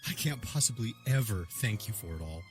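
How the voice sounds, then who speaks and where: A man's voice: fairly low pitch, animated, brisk, faint music behind, lightly acted. A man playing a tender, grieving adoptive father, narrating in the first person in an earnest, heartfelt way. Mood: loving.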